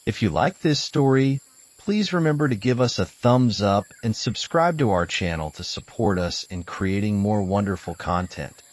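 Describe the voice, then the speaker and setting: A man's voice: fairly low pitch, animated, moderate pace, dry recording. A man reading scripted announcements as the host of a story channel.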